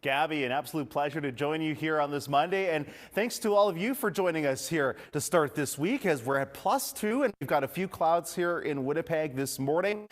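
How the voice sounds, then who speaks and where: A male weather and traffic presenter with a somewhat high-pitched voice. He delivers a semi-scripted forecast in morning broadcast style. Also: brisk, few pauses.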